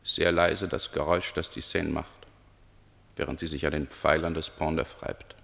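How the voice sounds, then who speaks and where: A man reading scripted literary narration for a radio play in a measured, observational way. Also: a bass voice, little pitch movement, vocal fry, a bit bright, in a large hall.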